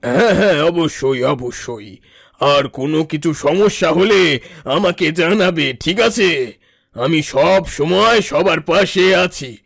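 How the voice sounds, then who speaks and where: A man voicing a pompous, boastful male lion who is king of the forest in a cartoon, with a gravelly voice. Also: a little high, lively intonation, a little slow, fairly bright tone, heavily acted. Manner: grand, patronizing, boastful. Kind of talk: cartoon voice acting. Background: background music.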